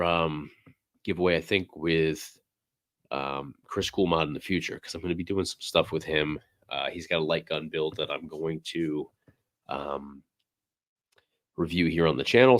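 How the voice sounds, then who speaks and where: Very deep-voiced raspy man. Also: no echo, clean studio sound. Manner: relaxed, rambling, many fillers. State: slightly hoarse, losing voice. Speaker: livestream host. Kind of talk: casual livestream chat.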